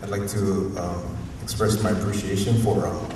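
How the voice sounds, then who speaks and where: A university professor from Hawaii with a Hawaiian accent, giving a rambling, informal, unscripted talk at a community forum and often chuckling. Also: male voice, deep voice, level tone, moderate pace. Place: museum auditorium. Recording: echoey hall, distant mic, faint noise.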